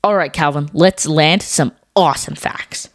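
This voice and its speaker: A woman co-hosting a scripted educational podcast for children, explaining things clearly. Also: low voice, a little slow, no echo, studio quality. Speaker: podcast co-host.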